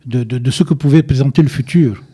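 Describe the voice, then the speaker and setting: A man's voice: medium pitch, fast, dark tone, slightly rough. An older man from Egypt, a radio interview guest who repeats words as he searches for them and insists firmly on his points. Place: radio studio. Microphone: studio microphone, at times too far away.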